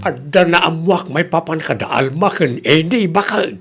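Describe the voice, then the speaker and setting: A man acts out an Ilocano family man in a comedy radio drama. His pitch sits high for a man and swings widely, with creak running through his exclamatory delivery. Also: lively intonation, moderate pace, a bit bright, soft background music. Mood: gossipy, indignant. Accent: Ilocano Filipino.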